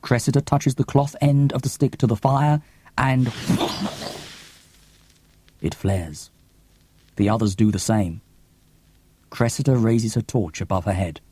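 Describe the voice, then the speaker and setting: A man reading scripted dramatic narration in a deliberate, theatrical manner. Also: medium pitch, dark tone, slightly rough. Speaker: author reading own audiobook. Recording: cassette audiobook with long reverb.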